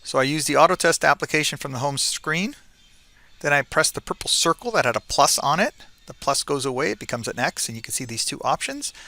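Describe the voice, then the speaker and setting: A man's voice: a little high, lively intonation, brisk, pushed and bright. A man giving an informal, conversational technical tutorial as a presenter, often checking in with the listener as he explains. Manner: conversational, frequently checks with "right?".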